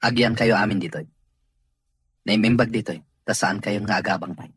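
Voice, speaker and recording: A man's voice: lowish voice, moderate pace, a bit bright, breathy edge, no room sound. A man with a Filipino accent playing a firm, protective, authoritative boss of a mansion in an audio drama. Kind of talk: Ilocano audio drama acting.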